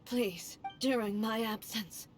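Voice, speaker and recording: A woman playing a stern, resolute warrior deity in a video game, speaking in a formal, measured, declamatory way. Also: fairly high pitch, soft background music. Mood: solemn resolve.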